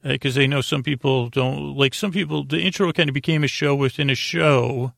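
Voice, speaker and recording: A man hosting a bedtime podcast in a gravelly voice, rambling haltingly and repeating words as he drifts into goofy, gently silly tangents. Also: medium pitch, fairly even intonation, no room sound, studio quality. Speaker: host of a bedtime sleep podcast. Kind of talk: rambling, meandering bedtime monologue.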